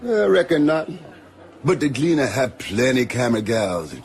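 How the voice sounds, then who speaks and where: An actor playing a loyal, easygoing Caribbean islander in a radio drama, speaking deferential patois with a Caribbean accent. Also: male voice, mid-range voice, sing-song, moderate pace. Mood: friendly, easygoing. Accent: Jamaican or Caribbean. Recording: music behind the voice.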